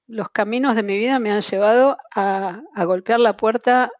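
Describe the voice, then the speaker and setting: A woman's voice: fairly high pitch, fairly even intonation, normal speed, dry recording, muffled recording. A woman from Argentina with an Argentine accent, a guest in an interview, speaking with many fillers and restarts. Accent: Argentine Spanish. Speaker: guest, translation-tool specialist. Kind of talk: interview over video call.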